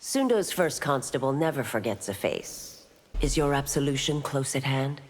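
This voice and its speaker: An actress playing a stern, principled chief constable in a fighting game, speaking formally and with authority in a reverberant space. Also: female voice, a bass voice, animated, normal speed. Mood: solemn, probing. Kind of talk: scripted fighting game dialogue.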